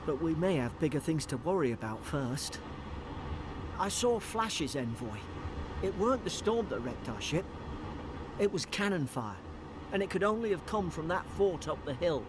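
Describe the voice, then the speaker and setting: An actor playing a loyal, worried naval gunner in a video game, earnest and respectful, with noise behind him. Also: male voice, on the high side, very animated, normal speed. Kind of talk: video game character dialogue. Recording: clear background ambient noise from game.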